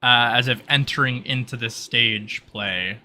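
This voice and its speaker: A man narrates a roleplaying scene as game master. His voice is very bright and forward, with casual, halting phrasing. A faint noise sits behind him. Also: medium pitch.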